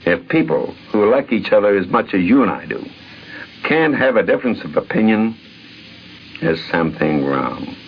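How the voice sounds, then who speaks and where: Low-voiced man telling stories, noisy old recording. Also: very animated, normal speed. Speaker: interviewee recalling a friend. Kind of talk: dramatic personal storytelling. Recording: dull, noisy vintage radio recording.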